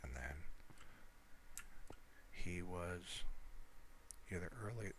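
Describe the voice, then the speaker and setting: Male gamer, deep bright voice, unhurried casual game walkthrough, some background noise. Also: animated. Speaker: gamer narrating own gameplay. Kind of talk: casual gameplay commentary.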